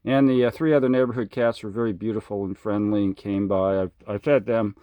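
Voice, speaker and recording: A man's voice: lowish voice, steady tone, a little fast, no echo, lo-fi sound. Rambling American man on ham radio, close and dry. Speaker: amateur radio operator. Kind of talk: casual ham radio chat. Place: indoors at a home radio station.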